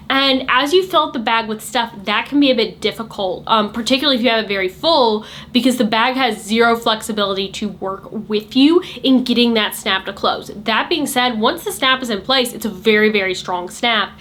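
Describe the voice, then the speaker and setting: A chatty, sassy woman walks through a handbag review in a casual, talkative way. Her voice sits a bit high and carries a light hoarseness. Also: fairly bright tone. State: a little hoarse. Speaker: luxury handbag reviewer, channel host.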